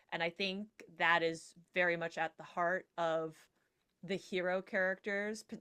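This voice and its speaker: A woman's voice: lowish voice, fairly bright tone. A woman speaking as a guest in a casual panel discussion, reflective and conversational, peppering her points with "like".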